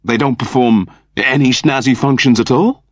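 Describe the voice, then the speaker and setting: A man's voice: medium pitch, moderate pace, dry recording, clean studio sound, pitched above the performer's own voice, natural voice. A man voicing an eager, fussy male android who has just become human, prim, wordy and naively earnest, in an audiobook comedy. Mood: fussy, embarrassed. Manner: prim, wordy, over-polite.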